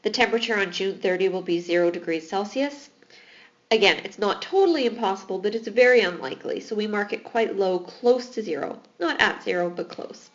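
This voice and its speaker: A woman's voice: medium pitch, a little fast, dull audio. A woman teaching math in a recorded lesson, explaining patiently while losing her voice. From Alberta, Canada.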